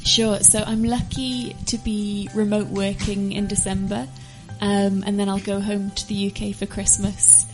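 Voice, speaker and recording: A woman's voice: medium pitch, flat delivery, measured pace, few pauses, faint music behind, rough recording. Chatty woman from England. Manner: chatty and friendly. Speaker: guest volunteer. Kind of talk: casual interview answers.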